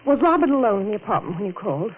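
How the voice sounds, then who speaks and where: A woman's voice: medium pitch, big pitch swings, rapid-fire, narrow, tinny audio, natural voice. Through a thin, faintly noisy 1940s radio recording comes a woman playing a devoted lover in a horror melodrama. She is resolute and urgent, with a commanding, dramatic delivery. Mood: urgent, probing.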